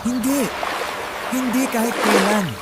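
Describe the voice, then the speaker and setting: A man's voice: extremely high pitch, steady tone, a little slow, heavy background noise. A man playing a desperate, insistent husband hardened by poverty, speaking urgently in a Filipino radio drama. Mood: desperate urging. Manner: urgent, insistent, emotional delivery.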